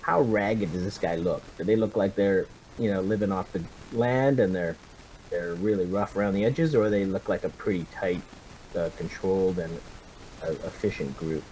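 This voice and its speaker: A man's voice: sits low, moderate pace, a little mellow, a little hiss or hum, rough recording. A man playing a tabletop roleplaying game, casually describing his character's actions in a hesitant, thinking-aloud way.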